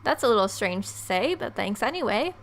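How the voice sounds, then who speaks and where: A woman's voice: a little high, very animated, fairly quick, slight background noise. A woman in her twenties reading visual novel text aloud with casual, joking asides, recorded at home. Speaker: gaming video creator. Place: small room at home, indoors.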